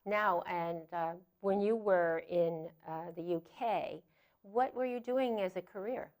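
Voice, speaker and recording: A woman hosting a community TV interview show, encouraging her guest with easy, warm questions. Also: lowish voice, unhurried. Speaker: talk show host.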